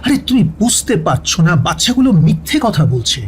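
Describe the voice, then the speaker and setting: A man's voice: high-pitched, sing-song, moderate pace, a little mellow. A man plays a sceptical detective's colleague in an audio story. He challenges in an agitated, incredulous way with very mobile intonation, over audible background noise. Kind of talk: audio drama acting.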